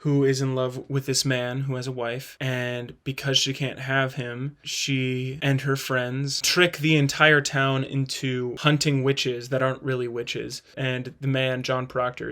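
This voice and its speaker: A man's voice: medium pitch, a bit bright. A man hosting a ranking video, giving casual, enthusiastic review commentary in an informal way. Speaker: host of a play-ranking video.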